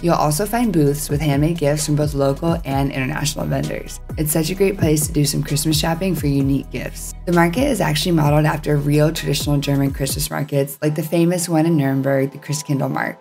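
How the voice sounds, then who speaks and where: A woman's voice: sits low, vocal fry, mellow. A woman in her thirties reading scripted voice-over narration for a travel vlog. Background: faint background music.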